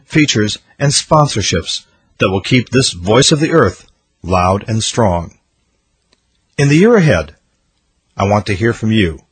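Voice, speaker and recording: A man hosting a radio show, reading scripted narration in an upbeat, promotional announcer manner with a husky voice. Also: fairly low pitch, no room sound.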